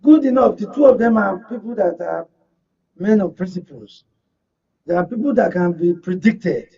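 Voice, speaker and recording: A Nigerian man with a high-pitched voice that is soft-edged and husky offers political commentary. He phrases things formally and at length, with proverbial flourishes. The sound is dull and a little distant from the microphone. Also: expressive, moderate pace. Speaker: panel guest, media analyst. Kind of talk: political panel discussion.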